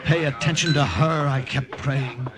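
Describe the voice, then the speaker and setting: A man's voice: mid-range voice, unhurried, a crowd in the background, natural voice. A man playing an earnest, desperate wartime partisan agent in an old radio drama. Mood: tense, grave. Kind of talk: 1940s-50s radio drama acting and narration. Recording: hissy old radio recording.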